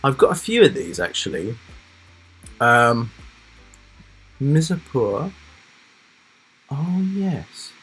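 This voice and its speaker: A man hosting a casual live stream, chatting loosely to his viewers with lots of fillers, rambling asides and soft laughs. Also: mid-range voice, sing-song, slow, long pauses, husky, soft background music, low-quality recording. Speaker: reaction livestream host.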